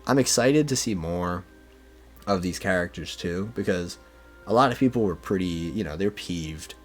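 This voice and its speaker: A man's voice: low voice, lively intonation, moderate pace, soft background music. A man in a casual, enthusiastic fan discussion, peppering his talk with "like" and "you know". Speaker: podcast speaker discussing manga.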